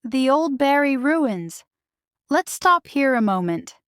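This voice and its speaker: A woman voicing a refined, caring queen and mother in a children's storybook, proper, measured and delicately formal. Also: high-pitched, sing-song, clean tone, dry recording, clean studio sound, voice pushed up, full character voice. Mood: gently instructive. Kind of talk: children's storybook character reading.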